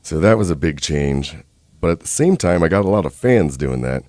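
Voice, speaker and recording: A man in his thirties with a deep speaking voice, a musician chatting easygoingly as a guest in a radio studio. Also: expressive, darkish tone, no room sound. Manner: laid-back, easygoing and unhurried. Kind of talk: casual interview chat.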